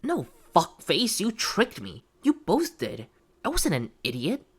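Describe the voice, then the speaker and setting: A woman's voice: on the low side, huge pitch swings, unhurried, breathy edge, exaggerated voice. A woman voicing a betrayed, vengeful test subject in a horror audio roleplay, coaxing and menacing, with hurt close to the surface. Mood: hurt, angry.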